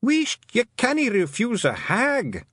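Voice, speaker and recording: Tiny storybook brawler: very high Scottish voice, boisterous, exclaiming, wildly swinging pitch. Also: male voice, huge pitch swings, takes it slowly, breathy edge, no room sound, full character voice. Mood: panicky. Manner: shouting, chanting, boisterous. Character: mob of tiny rowdy blue fighting men.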